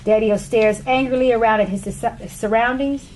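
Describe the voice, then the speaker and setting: A woman's voice: a little high, fairly even intonation, normal speed, darkish tone, low-quality recording. A woman reading stage directions as scripted narration for a play.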